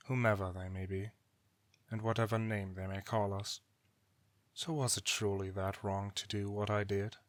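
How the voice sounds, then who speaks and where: A man's voice: on the low side, no room sound, lightly acted. A man playing an ancient god in an audio drama, a bitter, weary brother who speaks formally and solemnly without remorse. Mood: cold, reproachful. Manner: formal archaic diction, grave, deliberate. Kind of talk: dramatic audio roleplay acting.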